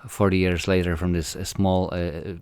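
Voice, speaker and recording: A man's voice: low voice, little pitch movement, moderate pace, darkish tone, clear, resonant tone, no echo. A man in his forties from Poland with a Polish accent, a filmmaker answering interview questions in a hesitant way, with many fillers and restarts. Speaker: filmmaker guest.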